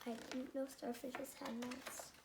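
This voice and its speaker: A woman's voice: sits high, level tone, slow pace, creaky, very noisy. A young girl reading a picture book aloud, adding short asides of her own. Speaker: storybook reader. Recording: roomy echoing room sound.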